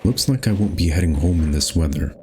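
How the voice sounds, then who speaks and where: A man with a husky voice reading a visual novel aloud as a gaming host, moving between narration and character lines. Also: low-pitched, brisk, noisy background.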